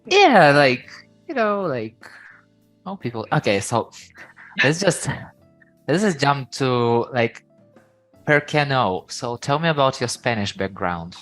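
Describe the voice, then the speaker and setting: Very deep-voiced hesitant woman host. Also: sing-song, faint music behind. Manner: hesitant, halting, with self-corrections and laughs. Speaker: podcast host. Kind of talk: casual interview.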